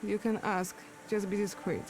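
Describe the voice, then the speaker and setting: An actress voicing a brisk, dismissive woman vendor in a video game, speaking in a curt, businesslike way. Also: medium pitch, little pitch movement, darkish tone, a little hiss or hum, lightly acted, poor audio.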